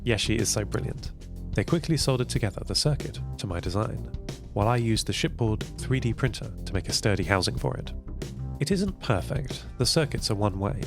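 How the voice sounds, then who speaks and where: A man with a creaky voice playing a thoughtful, gentle artificial intelligence, narrating an audio drama in a measured, reflective way. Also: lowish voice, expressive, over music. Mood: quietly pleased. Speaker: narrator of an audio fiction podcast. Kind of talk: first-person scripted audio drama narration.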